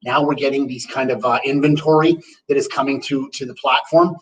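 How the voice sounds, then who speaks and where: A man's voice: medium pitch, steady tone, a little fast. A man from Canada co-hosting a webinar, bantering and joking in casual chat. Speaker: webinar co-host. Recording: distant from the mic.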